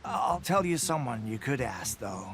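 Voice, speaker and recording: A sleepy, lazy soldier. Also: male voice, medium pitch, very animated, measured pace, fairly bright tone, slight background noise. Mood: drowsy. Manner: languid, sleepy, offhand. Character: lazy drowsy soldier who keeps to himself.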